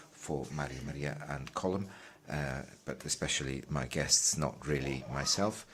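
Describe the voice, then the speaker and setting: A man working as a simultaneous interpreter, relaying a panel talk in a measured way, pausing with fillers as he keeps up. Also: extremely low pitch, fairly bright tone. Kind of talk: live conference interpretation.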